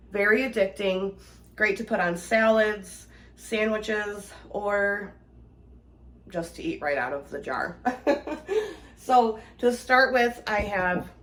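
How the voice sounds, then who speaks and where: A woman hosting a home canning tutorial, talking in a relaxed, chatty way, a little congested from a cold. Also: medium pitch, roomy sound, slight background noise. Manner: relaxed, chatty, laughs easily. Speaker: home canning channel host. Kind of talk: casual cooking tutorial.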